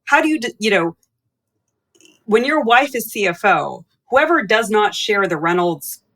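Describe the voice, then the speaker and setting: A woman's voice: mid-range voice, sing-song, a little slow, a bit bright. A woman co-hosting a business podcast, asking reflective, candid questions of her guest. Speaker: podcast co-host. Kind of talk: conversational podcast interview.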